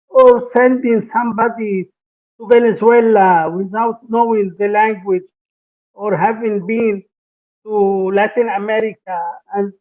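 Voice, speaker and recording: Hesitant priest, high voice. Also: male voice. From Lebanon. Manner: hesitant, many fillers and restarts. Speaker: priest and theology professor. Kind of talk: conference panel talk. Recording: tinny, phone-like conference stream.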